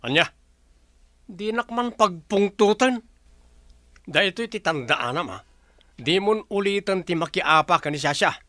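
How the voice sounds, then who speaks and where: A man's voice: sits high, lively intonation, unhurried, pauses often, bright, forceful tone, no echo, exaggerated voice. A man playing a fed-up, hard-drinking husband and father in a radio drama, scolding in exasperation. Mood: exasperated. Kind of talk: Philippine radio drama acting.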